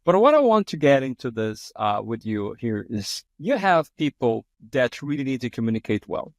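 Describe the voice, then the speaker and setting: A man's voice: mid-range voice, big pitch swings, normal speed, dry recording, clean studio sound. A man from Brazil with a Brazilian Portuguese accent, hosting an interview in English as a second language, effusive and often restarting his sentences. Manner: effusive, frequent restarts and repeated words. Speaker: podcast host. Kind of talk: conversational interview.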